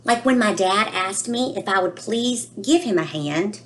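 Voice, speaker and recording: A woman voicing an anxious, literal-minded little boy in a singsong, expressive storybook reading. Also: mid-range voice, a little slow. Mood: worried. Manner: worried, singsong rhyming delivery.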